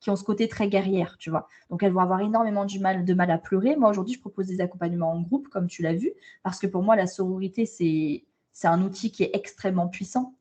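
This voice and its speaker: A woman's voice: mid-range voice, quick pace, few pauses, darkish tone. Direct, emphatic French woman. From France. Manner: direct, emphatic, many repeated starts. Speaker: guest, holistic coach. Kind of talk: casual video interview. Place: travelling abroad, indoors.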